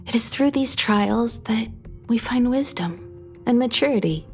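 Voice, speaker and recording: A woman's voice: on the high side, animated, measured pace, faint music behind. An actress playing a poised, encouraging, philosophical woman in a video game, composed and formal.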